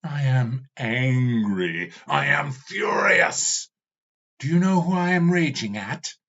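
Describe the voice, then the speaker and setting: A booming, all-seeing demon king, weary of eternity and raging at existence, as a man puts him on. His voice rides well above the reader's own, swinging widely as he drags out each proclamation. The storybook recording sounds dull and lo-fi. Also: very animated, slow, a bit bright, breathy edge, full character voice.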